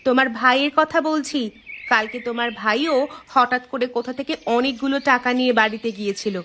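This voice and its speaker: A woman's voice: on the high side, brisk, fairly bright tone, slight background noise, natural voice. A woman playing a stern, suspicious village wife in a cartoon, questioning and nagging with emphasis. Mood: concerned. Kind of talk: Bengali cartoon dialogue dub.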